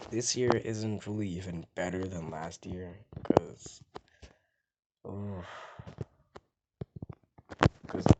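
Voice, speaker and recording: A young man with a strikingly deep, low voice and a gravelly fry. He rambles casually to the camera, and some words fall soft and mumbled. A loud noise floor with mouse clicks sits under the recording. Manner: rambling, soft and mumbled at times, hard to hear. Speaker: gaming vlogger.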